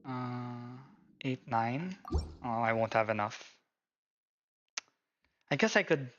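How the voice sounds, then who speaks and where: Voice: medium pitch, expressive, takes it slowly, lo-fi sound, slight background noise. A game streamer giving casual live commentary, muttering and thinking aloud. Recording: game music behind voice. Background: video game music.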